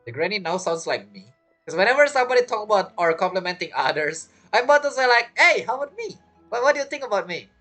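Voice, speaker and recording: A woman's voice: medium pitch, very animated, quick pace, a bit bright, faint music behind. A woman giving chatty, playful reaction commentary as she streams a game. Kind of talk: casual reaction commentary over gameplay.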